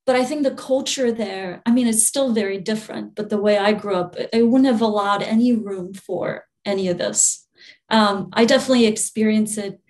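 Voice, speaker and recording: A young woman from Albania speaks as an interview guest, her pitch sitting at an ordinary middle height for a woman. She talks softly and loosely, trailing off, restarting and laughing between thoughts. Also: moderate pace, poor audio. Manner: soft-spoken, laughs often, many fillers and restarts. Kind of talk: relaxed conversational interview.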